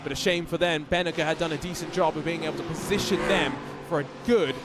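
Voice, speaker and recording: High-voiced male race commentator, chatty play-by-play, over a noisy mix. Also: fairly even intonation, fast, gravelly. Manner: chatty, rambling with fillers. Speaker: sim racing commentator.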